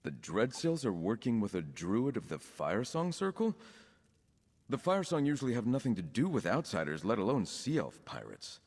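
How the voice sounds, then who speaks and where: An actor playing an earnest, composed young nobleman in a video game, speaking in a formal, measured way. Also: mid-range voice, wildly swooping pitch, darkish tone, long reverb tail. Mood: concerned, courteous. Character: young nobleman, count's son with druid leanings, earnest and composed. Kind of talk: scripted video game dialogue.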